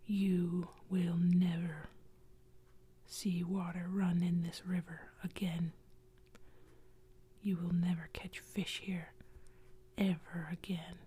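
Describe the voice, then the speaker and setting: Woman doing a vengeful ghost warrior, low slow whisper, dark and mellow, storybook villain. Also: little pitch movement, slightly rough, exaggerated voice. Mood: menacing whisper. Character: vengeful dead warrior ghost, male, menacing.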